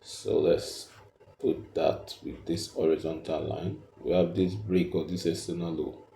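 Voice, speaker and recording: A man with a low, very dark and mellow voice teaches step by step. He speaks slowly and haltingly, often repeating himself as he points things out. The recording is roomy and echoing. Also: fairly even intonation, creaky, a little hiss or hum. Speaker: trading tutor. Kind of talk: explanatory screen tutorial, step by step.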